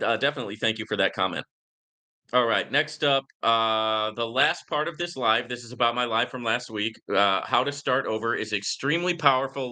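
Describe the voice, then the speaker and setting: A man's voice: mid-range voice, pushed and bright. A man hosting a casual live Q and A, talking in an outspoken, emphatic way and often checking in with "right?". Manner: outspoken, emphatic, repeatedly seeking agreement with "right?". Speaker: livestream host answering viewer comments.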